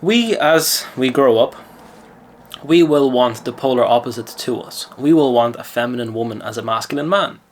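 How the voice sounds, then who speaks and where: A man from Lithuania who speaks with an Irish accent, his voice sitting at an ordinary middle pitch. He tells a story casually and then shifts into confident, lecturing advice as a mindset coach. A faint ambient hum sits under his voice. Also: normal speed. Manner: chatty, self-assured, lecturing.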